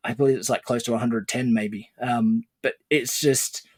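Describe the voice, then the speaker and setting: A man's voice: mid-range voice, fairly even intonation, moderate pace, husky, no echo. A middle-aged man hosting a podcast interview, upbeat and enthusiastic, laughing often. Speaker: podcast host.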